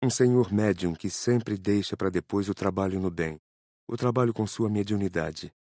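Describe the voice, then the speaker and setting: A man's voice: on the low side, moderate pace, darkish tone, no room sound, studio quality, close to an everyday voice. A man playing an earnest student who explains and asks in an audiobook. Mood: earnest inquiry. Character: Murilo, earnest male student asking his teacher. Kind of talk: dramatized audiobook reading.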